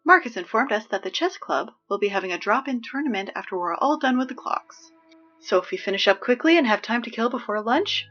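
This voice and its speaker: A woman playing a chipper high school student reading announcements in an audio drama, brisk and deadpan about absurd horrors. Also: lo-fi sound. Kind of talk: scripted audio drama acting as public announcements.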